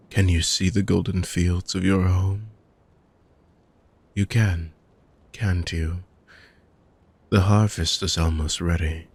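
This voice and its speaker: A man voicing a weary ancient dark mage villain in a fantasy roleplay audio, speaking in a slow, measured way that drifts into hushed whispers. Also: low-pitched, creaky, husky, full character voice.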